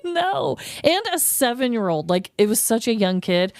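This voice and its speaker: A woman's voice: fairly high pitch, very animated, normal speed, a loud crowd. A woman with an American accent, chatting casually as a podcast co-host. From Missouri, United States. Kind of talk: casual chat.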